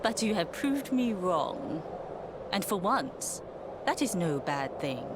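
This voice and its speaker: An actress playing a stern, imperious martial arts master in a video game, haughty and commanding, grudging with praise. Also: female voice, on the low side, big pitch swings, slow pace, heavy background noise. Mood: grudging approval. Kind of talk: dramatic video game voice acting. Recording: loud music behind the voice. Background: loud game music.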